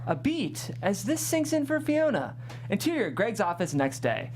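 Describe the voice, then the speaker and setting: A woman's voice: mid-range voice, very animated, moderate pace, a little mellow, a little hiss or hum. A woman hosting a script read, narrating stage directions and chatting with guests. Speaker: host and stage-direction reader.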